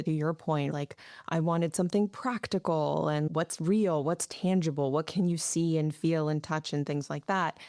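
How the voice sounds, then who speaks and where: A woman's voice: low-pitched, darkish tone, clean tone, dry recording, studio quality. A woman from the United States hosting a podcast interview, talking through ideas thoughtfully. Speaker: podcast host. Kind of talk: casual interview chat.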